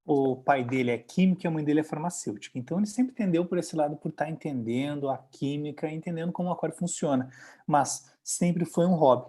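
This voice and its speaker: A man's voice: fairly high pitch, fast, warm tone. A man with a Brazilian accent, hosting an interview and interpreting for the guest in a conversational way. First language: Portuguese.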